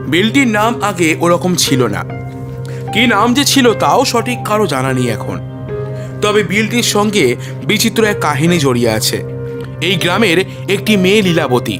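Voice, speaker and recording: A male storyteller reads scripted fiction in a high-pitched voice. His intonation swings widely, with dramatic emphasis on measured literary phrases. Music plays behind the narration.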